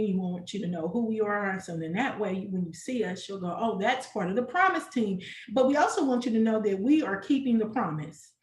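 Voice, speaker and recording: A woman's voice: fairly high pitch, roomy sound, slight background noise. A woman in her sixties hosting a casual preschool program chat, talking in a chatty, affirming way with many restarts. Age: older. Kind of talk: casual online panel chat.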